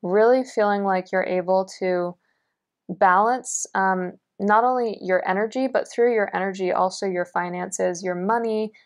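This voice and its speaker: A woman's voice: mid-range voice, unhurried. Young woman tarot reader, earnest and reassuring, voice slightly worn. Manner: earnest, encouraging, lots of fillers. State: losing her voice. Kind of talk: pick-a-card tarot reading. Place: indoors at a table, only hands seen.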